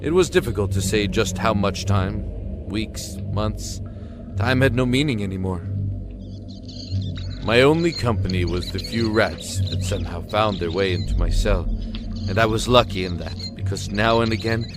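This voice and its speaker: A male narrator delivers scripted, first-person dramatic storytelling. His voice sits fairly low, with somber and deliberate phrasing. Music plays clearly behind him throughout.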